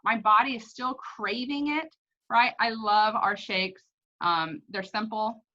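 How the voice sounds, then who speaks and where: Female promoter, bright and forceful, casual gushing testimonial, slightly muffled low-fidelity recording. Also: takes it slowly. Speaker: product promoter.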